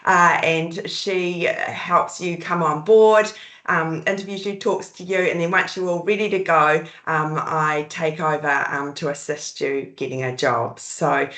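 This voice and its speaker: A woman with a New Zealand accent and a low voice talks easygoingly, pausing on ums as she introduces herself. She sounds like she is in an echoey room, heard over a video call. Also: fairly even intonation, a bit bright. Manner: easygoing, hesitant, frequent ums. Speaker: recruitment consultant. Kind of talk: casual webinar self-introduction.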